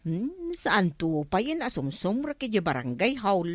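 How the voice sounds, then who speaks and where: A woman's voice: medium pitch, sing-song, a little slow, no echo, studio quality. A woman with an Ilocano accent playing a grumbling, gossipy village official in a radio comedy drama. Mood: grumbling, incredulous. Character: grumbling female village official fed up with her captain.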